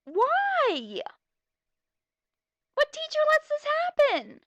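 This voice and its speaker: A woman's voice: very high-pitched, wildly swooping pitch, extremely slow, a bit bright, no echo. A young woman reading a story aloud with blunt, mocking, exasperated commentary, on a slightly dull home recording. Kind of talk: sarcastic reading with running commentary.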